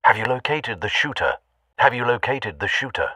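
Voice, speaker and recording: An actor playing a loyal older butler with a British accent in a video game, formal and dryly understated. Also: male voice, mid-range voice, expressive, normal speed, bright, forceful tone, husky, no room sound, distant mic. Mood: dry, attentive. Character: refined, loyal, concerned older butler man.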